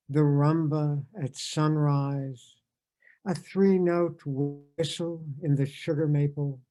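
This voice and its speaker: Mellow, very slow-speaking man. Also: a little high. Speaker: poet, reader at a tribute event. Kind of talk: informal introduction and poetry reading. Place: virtual reading over video call. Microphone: video call.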